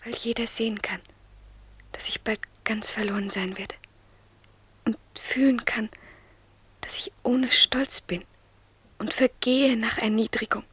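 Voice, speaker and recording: An actress playing a devoted, submissive young woman in love, speaking in a fervent, breathy hush in a radio play. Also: on the high side, fairly even intonation, measured pace, pauses often, no room sound, heavily acted. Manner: yielding, fervent, often whispering. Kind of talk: 1970s radio drama acting.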